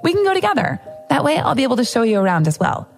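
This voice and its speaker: A woman voicing a cheerful, eager, chatty teenage schoolgirl in an audiobook story, with an excitable, insistent manner. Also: on the high side, rapid-fire, gravelly, soft background music, voice pushed up. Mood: bubbly, eager.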